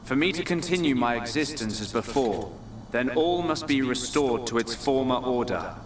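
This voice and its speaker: An actor playing an arrogant, merciless god in a dramatic game cutscene, speaking in grandiose, menacing pronouncements. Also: male voice, medium pitch, animated, moderate pace, close to an everyday voice. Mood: cold, imperious. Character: arrogant, merciless male god bent on destruction. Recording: roomy, distant voice under loud music.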